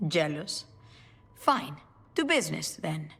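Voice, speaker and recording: A woman's voice: low voice, big pitch swings, takes it slowly, creaky, bright, forceful tone, husky, faint music behind, full character voice. A woman voicing a wry, commanding, motherly assassin matriarch in a video game. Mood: wry, teasing.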